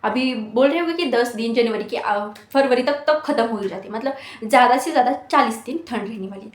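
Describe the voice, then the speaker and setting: A woman's voice: on the high side, brisk, reverberant. A woman of twenty-one giving an earnest motivational talk to exam students, scolding them with rhetorical questions. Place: hostel room.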